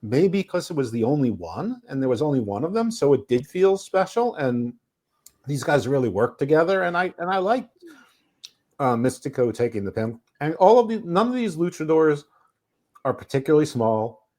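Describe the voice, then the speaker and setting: A man's voice: on the high side, very animated, moderate pace, mellow. A man co-hosting a podcast, reviewing wrestling in casual chat, rambling with restarts and chuckles. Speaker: podcast co-host.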